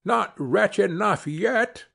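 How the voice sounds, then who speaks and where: A man's voice: sits high, sing-song, unhurried, vocal fry, slightly rough, voice pushed up, heavily acted. A man voicing a small female sparrow in a storybook, bold, loyal and vengeful, with an emphatic delivery. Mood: vengeful, taunting.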